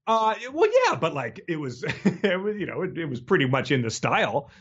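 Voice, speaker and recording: A man co-hosting a podcast in casual book discussion, with halting, repeating phrasing and a slightly lo-fi recording. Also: a little high, sing-song, fairly bright tone, husky. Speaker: podcast co-host. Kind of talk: casual book discussion with read-aloud passages.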